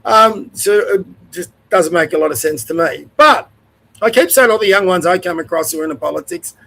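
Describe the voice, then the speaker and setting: An older Australian man with a fairly high-pitched voice, stuffed up and nasal from a cold. He rants bluntly to camera, rambling, cursing and tripping over his own sentences. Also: expressive, fairly quick, creaky, sharp tone, low-quality recording. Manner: blunt, rambling, swears, restarts often. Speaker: host. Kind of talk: opinion rant to camera.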